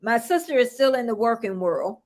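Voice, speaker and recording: A woman from the United States hosting a casual live-stream chat, chatty and quick to laugh. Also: fairly high pitch, sing-song. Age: older. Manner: laughs often, chatty and encouraging. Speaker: host.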